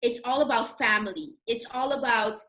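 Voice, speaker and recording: A woman's voice: a little high, normal speed, fairly bright tone. A young woman from Curaçao, talking casually and chattily as a guest about her own experience. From Curacao, in the Caribbean. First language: not Dutch, a language of Curacao. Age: 20s-30s. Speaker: guest sharing career experiences. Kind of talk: casual conversational discussion.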